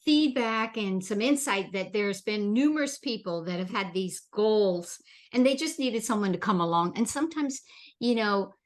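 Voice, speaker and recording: A woman originally from the Bahamas hosts a leadership interview in an encouraging way, often repeating words as she builds up her questions. Her voice sits at a middle pitch. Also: lively intonation, moderate pace. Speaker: podcast host. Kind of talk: interview chat.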